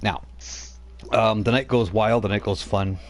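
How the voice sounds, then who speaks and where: A man's voice: lowish voice, fairly even intonation, a little slow. A man running a tabletop role-playing game over voice chat, narrating loosely with many fillers and restarts. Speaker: game master.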